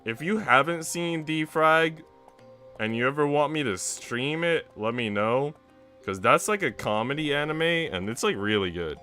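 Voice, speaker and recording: A man's voice: fairly high pitch, expressive, a little slow, bright, forceful tone, soft background music. A man livestreaming in casual, conversational chat with his viewers. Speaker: livestreamer reading visual novels.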